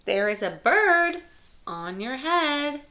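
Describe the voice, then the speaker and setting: A woman's voice: high voice, very animated, slow pace, bright, forceful tone, slight background noise, exaggerated voice. A woman voicing a cheerful, helpful little female pig in a singsong, matter-of-fact way for a children's picture book.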